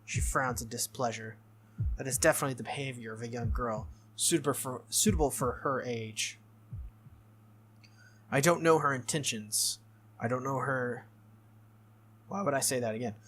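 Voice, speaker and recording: A game playthrough host reading a visual novel aloud in an expressive way, with casual reactions. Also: medium pitch, normal speed, long pauses. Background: game music.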